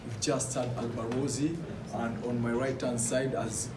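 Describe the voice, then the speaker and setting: A man who works as a DJ opens a press conference and introduces his guests formally, stumbling over a few words along the way. His voice is recorded from afar in an echoing hall, and loud background noise sits under it. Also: mid-range voice, little pitch movement, measured pace. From Kenya. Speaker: DJ and event host. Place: large indoor venue. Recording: echoey room, far mic, loud noise.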